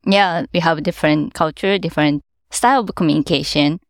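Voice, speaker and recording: A woman's voice: low voice, brisk, no echo, studio quality. A woman whose first language is Japanese, answering interview questions in careful non-native English as an event organizer, with light laughs as she explains.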